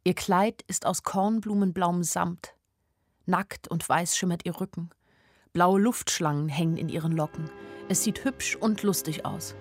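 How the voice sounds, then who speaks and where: A woman's voice: fairly low pitch, measured pace, dry recording. An actress playing an anxious, observant young woman who narrates her own story wistfully in a literary radio drama. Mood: observant, melancholy. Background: music.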